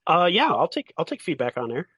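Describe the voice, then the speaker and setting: Lighter pitched guy, podcast game master just chatting, close dry audio. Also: huge pitch swings, a little fast, pushed and bright, slightly rough, no echo. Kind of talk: casual banter.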